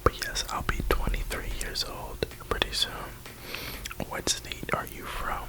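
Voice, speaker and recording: A young man in his early twenties, from New York and Florida, whispering through a rambling Q&A and repeating his words, sitting close to the mic. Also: measured pace. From New York and Florida, USA. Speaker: ASMR creator. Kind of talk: whispered ASMR Q&A.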